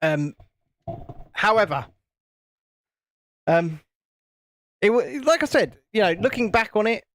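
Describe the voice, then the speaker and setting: A man with a naturally high-pitched voice, hosting a casual livestream and cheekily bantering while reading out chat comments. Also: big pitch swings, takes it slowly, long pauses, a bit bright, no echo, clean studio sound. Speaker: host of a building livestream.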